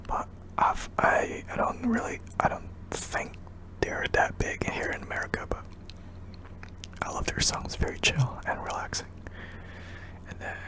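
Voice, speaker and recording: A young man from Indonesia, whispering hesitantly with many ums while answering viewer questions in an ASMR video. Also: low-quality recording. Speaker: ASMR channel host.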